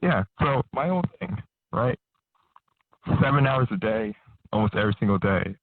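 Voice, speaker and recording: A man calling in to a talk show, speaking hesitantly with pauses and fillers. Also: medium pitch, very animated, no echo. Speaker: caller. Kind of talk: phone-in conversation. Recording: tinny phone-line audio.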